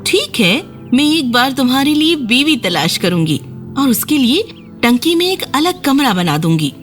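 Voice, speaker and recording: A woman's voice: high-pitched, big pitch swings, faint music behind, pitched above the performer's own voice. A woman storyteller voicing a kindly, doting queen in a children's cartoon fairy tale. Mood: caring.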